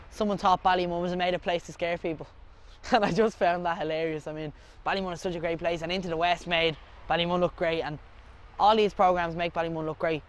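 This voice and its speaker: A man's voice: high-pitched, clean tone. A young man with a Dublin accent, presenting a television feature and talking emphatically. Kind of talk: documentary presenting and interview.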